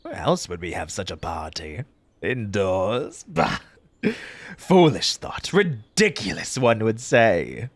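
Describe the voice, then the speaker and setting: A man playing an eccentric, fussy tea-party host in an audio roleplay, pompous and formal in old-fashioned diction, with a breathy, husky voice and an undertone of grief. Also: fairly low pitch, big pitch swings, takes it slowly, no echo, full character voice. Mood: indignant, scolding. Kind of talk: theatrical character audio roleplay.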